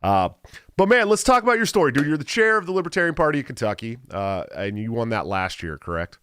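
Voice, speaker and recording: A man hosting a podcast, with a deep, stuffy, slightly nasal voice and a loose, casual way of talking. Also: sing-song, fast talker, fairly bright tone, clear, resonant tone, no echo, studio quality. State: congested. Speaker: podcast host.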